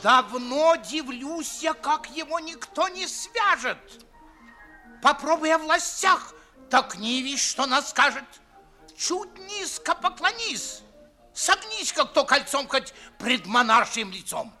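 An actor playing a middle-aged, pompous and indignant gentleman official in a radio play, declaiming emphatically. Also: extremely high pitch, very animated, slow pace, heavily acted. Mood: indignant, boastful. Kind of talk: 1950s Russian radio stage acting. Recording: 1950s radio theatre recording, faint music.

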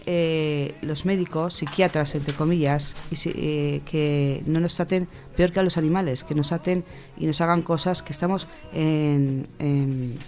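A man speaking off the cuff on a radio show, halting as he searches for words. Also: on the high side, steady tone, darkish tone, faint music behind. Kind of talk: unscripted personal talk on radio.

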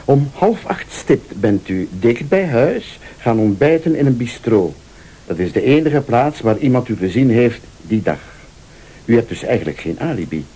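An actor playing a vengeful rival in a radio thriller, a calm, sardonic accuser who speaks Flemish with deliberate, probing politeness. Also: male voice, mid-range voice, husky. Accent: Flemish Belgian. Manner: deliberate, insinuating, formally polite.

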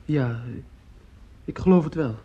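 A man's voice: on the high side, a little slow, dark tone. An actor playing a polite, reserved young man in a radio play, hesitant and deferential in his speech. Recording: dull, muffled radio play recording.

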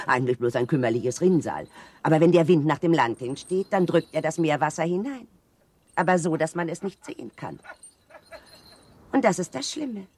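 A woman's voice: deep voice, lively intonation, normal speed, a little mellow, husky. An actress playing a knowing woman in a German radio drama, explaining things emphatically. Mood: ominous, explaining.

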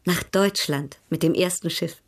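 An actress playing a worldly, proud baroness in a German radio drama, speaking with polished irony. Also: female voice, lowish voice, measured pace. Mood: weary, dismissive.

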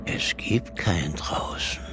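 An actor playing a brooding man who recalls his brother's disappearance in a radio drama, telling it deliberately with pauses, over music. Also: heavy background noise, natural voice. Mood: haunted, measured. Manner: slow, deliberate storytelling with pauses. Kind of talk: radio drama first-person narration. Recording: music under the voice.

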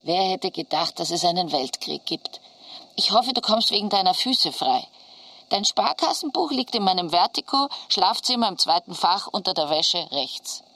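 A fussy worried auntie reading her postcard, sharp bright voice, close dry sound. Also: on the low side, very animated, normal speed, no echo, full character voice. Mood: worried, caring. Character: fretful, homely aunt writing a wartime postcard. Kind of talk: German radio drama letter reading.

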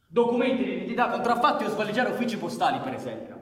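A man's voice: high-pitched, very animated, racing. A man playing an earnest, courteous police commissioner in radio theatre, speaking formally, articulately and persuasively. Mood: pointed, accusing. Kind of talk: Italian radio theatre acting. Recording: echoey hall with long reverb.

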